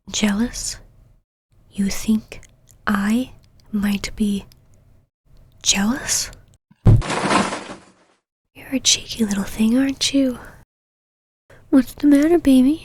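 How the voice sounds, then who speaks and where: A woman's voice: fairly high pitch, a little mellow. A woman whispering as a possessive, menacing lover. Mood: mocking, possessive. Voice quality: breathy whisper. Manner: slow, taunting and controlling. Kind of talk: whispered audio roleplay.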